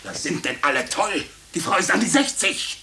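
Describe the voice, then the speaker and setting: Stern farmer, scornful and hot-tempered, swinging widely in pitch, hissy vintage radio drama. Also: male voice, lively intonation, moderate pace, bright, forceful tone, heavily acted. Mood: angry, scornful. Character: gruff, skeptical farmer, hot-tempered master of the house. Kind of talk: 1950s German radio play acting. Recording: hissy old radio recording.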